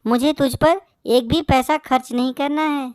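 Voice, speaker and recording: Woman acting a high-pitched scolding bird mother. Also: steady tone, moderate pace, darkish tone, no room sound, clean studio sound, natural voice. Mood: scolding, dismissive. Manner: scolding, harsh. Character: cruel scolding bird mother favouring her son. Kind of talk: Hindi moral-story cartoon dub.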